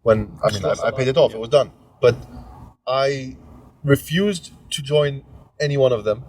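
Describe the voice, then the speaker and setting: A man chatting casually on a podcast, telling animated stories and firing off questions. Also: mid-range voice, normal speed, recorded from a distance, low-quality recording. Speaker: podcast panellist. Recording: voice off the mic, faint background noise.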